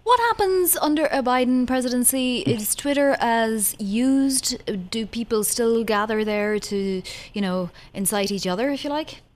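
Higher-voiced woman co-hosting a news interview. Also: measured pace, few pauses. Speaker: radio news co-host. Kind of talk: conversational broadcast interviewing.

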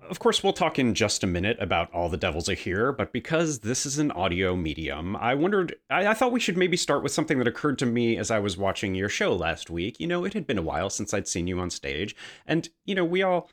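A man's voice: lowish voice, sing-song, fairly quick, hardly any pauses. A man hosting an interview podcast, moving between scripted introduction and conversational questions.

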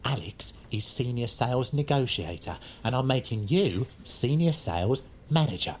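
A man's voice: mid-range voice, expressive, normal speed, natural voice. A male actor plays a smarmy British branch manager in an audio drama. His mid-range voice is smug and blunt, with callous ease. Faint noise sits behind it. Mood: smug, conspiratorial.

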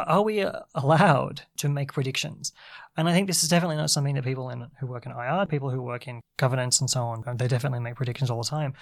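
A man's voice: mid-range voice, gravelly. A man who talks as an anthropologist in a casual academic discussion, thinking aloud with hesitant restarts. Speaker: podcast co-host, anthropologist.

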